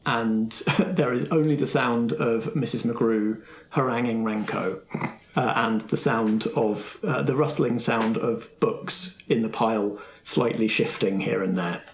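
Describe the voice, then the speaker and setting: A woman's voice: extremely low pitch, measured pace. A woman narrating a tabletop game as game master, in a casual, improvised style. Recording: roomy echo, faint background noise.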